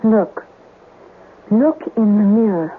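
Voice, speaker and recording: An actress playing the ghost of a sea captain's wife in a radio drama, speaking formally and gravely with ominous warnings. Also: female voice, mid-range voice, takes it slowly. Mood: solemn, commanding. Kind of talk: 1970s radio drama acting. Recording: thin phone-like old radio recording, hiss.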